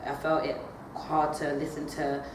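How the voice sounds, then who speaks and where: Young woman, drama student, low voice, hesitant with fillers, distant noisy echoey recording. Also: completely flat delivery, unhurried. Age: young adult. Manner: hesitant, many fillers and restarts. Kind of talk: assessment interview answers. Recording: echoey room, far from the mic, noisy.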